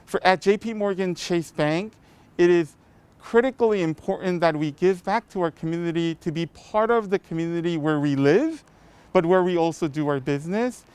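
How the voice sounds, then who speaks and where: A middle-aged man with a smooth, clear and fairly high voice delivers a prepared welcome as a corporate sponsor. He is polite, formal and earnest. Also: normal speed, darkish tone.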